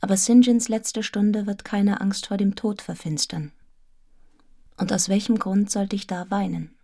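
An actress playing a devoted, composed young wife in a radio drama, speaking in a measured, intimate, reflective way. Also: female voice, mid-range voice, steady tone, creaky, warm tone. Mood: sad, resolute. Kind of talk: literary radio drama, first-person narration and dialogue.

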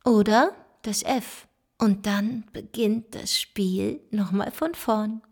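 An inquisitive investigator, breathy-rough mid voice, bookish, conspiratorial, radio play. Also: female voice, medium pitch, takes it slowly, darkish tone. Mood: intrigued.

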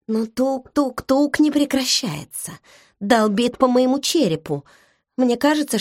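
A woman reading a novel aloud in the first person, measured and intimate, like inner monologue. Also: a little high, no room sound. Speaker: audiobook narrator. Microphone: close studio mic. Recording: dry studio recording.

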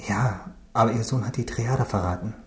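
An actor playing an earnest, protective young gangster's son turned informant in a radio crime drama, plain and urgent. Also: male voice, lowish voice, normal speed, lightly acted. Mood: subdued, worried. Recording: echoey room.

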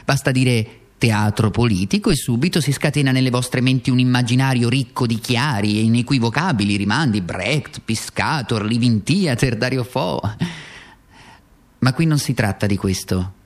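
An actor playing a bitterly sarcastic, worn-out communist wage earner in a theatrical, ironic political radio monologue. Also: male voice, medium pitch, natural voice. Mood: sardonic, deliberate. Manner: theatrical, ironic, swinging from deliberate to frantic.

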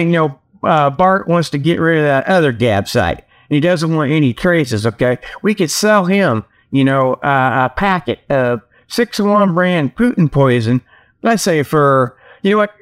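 A man hosting a comedy show, giving a rambling, folksy monologue full of mock sales pitches. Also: lively intonation, normal speed, smooth. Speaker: host of a comedy talk show.

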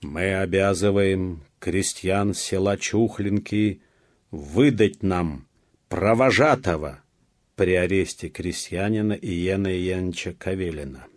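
A man voicing a stern, commanding rural police chief in an audiobook, loud, deliberate and official. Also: low voice, no room sound, studio quality. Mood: officious.

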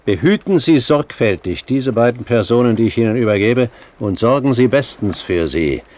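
An actor playing a cool, haughty older gentleman pirate captain in a German radio play, speaking formally and with measured authority. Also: mid-range voice, lively intonation, natural voice. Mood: cool, commanding.

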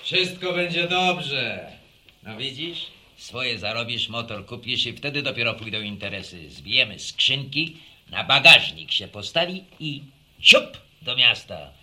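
A man playing a boastful, easygoing villager who spins tall tales in a folksy, animated way in a radio drama. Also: mid-range voice, a little slow. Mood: enthusiastic scheming.

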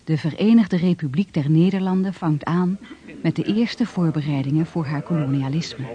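A man's voice: fairly high pitch, crowd noise. Solemn male radio-play narrator, lo-fi. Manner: solemn, measured. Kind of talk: scripted historical radio drama narration. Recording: dull lo-fi radio recording with music.

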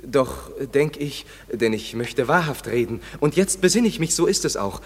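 A young lover in a classic radio drama, answering earnestly with a hesitant, husky voice. Long reverb hangs behind each line. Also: male voice, medium pitch, expressive, moderate pace. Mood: bewildered. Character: young lover, dazed and half awake. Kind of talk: classic radio play acting.

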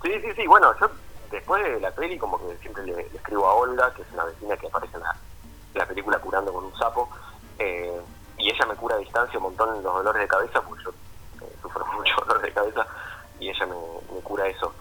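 A man's voice: fairly low pitch, fast talker, bright, forceful tone, slight background noise. A man with an Argentine accent answers casually as a filmmaker in an interview over a phone line, explaining informally and checking with little tag questions. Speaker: documentary filmmaker being interviewed.

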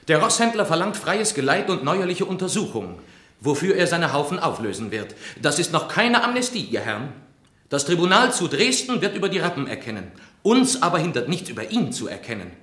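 An actor playing a stern, rhetorical court councillor in a radio drama, with an emphatic oratorical delivery. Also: male voice, a little high, big pitch swings, a little fast, pushed and bright. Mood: stern, persuasive. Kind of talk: German radio play acting. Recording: echoey chamber.

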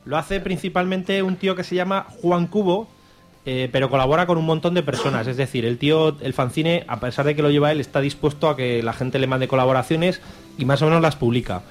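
A man with an accent from Spain speaks in a fairly high voice. He chats off the cuff as a radio co-host, colloquial and irreverent, joking his way through what he presents. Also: a little fast, soft background music. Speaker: radio show co-host and fanzine reviewer. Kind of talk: casual, unscripted banter. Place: radio studio.

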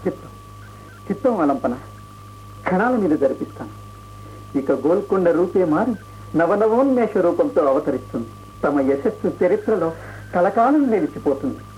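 An actor playing a loyal, deferential royal minister who pleads earnestly and respectfully in a radio play. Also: male voice, high voice, sing-song, moderate pace, long pauses, faint music behind. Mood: reverent. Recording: dull radio recording, faint hiss.

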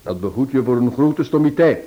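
A man's voice: medium pitch, lively intonation, fairly quick, dull audio, close to an everyday voice. A man playing a suave, teasing amateur sleuth and husband in a radio drama, polished and playfully condescending. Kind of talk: Dutch-language radio drama acting.

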